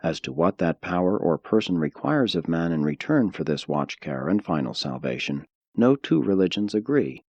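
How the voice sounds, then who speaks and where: A man narrating an audiobook from a script in a measured, formal, solemn manner. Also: deep voice, sing-song, darkish tone, no room sound, muffled recording.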